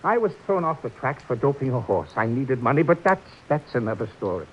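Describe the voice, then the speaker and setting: A man's voice: big pitch swings, fairly quick, slight background noise, lightly acted. A man playing a meek, guilty old horse trainer. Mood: pleading. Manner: earnest, pleading, jumpy pitch. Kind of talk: 1940s radio acting. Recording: dull lo-fi old radio broadcast.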